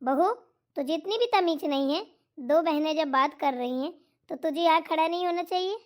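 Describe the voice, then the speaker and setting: A woman's voice: high-pitched, normal speed, close to an everyday voice. A woman voicing a bossy, demanding middle-aged aunt-in-law in a cartoon comedy, scolding and haughty. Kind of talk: Hindi cartoon comedy voice acting.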